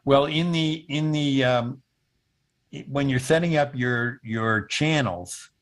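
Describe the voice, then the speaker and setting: A man in his seventies giving an explanatory technical demo over a video call, often pausing mid-sentence as he goes. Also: mid-range voice. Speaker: presenter demonstrating intercom software. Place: indoor room at home, on a video call.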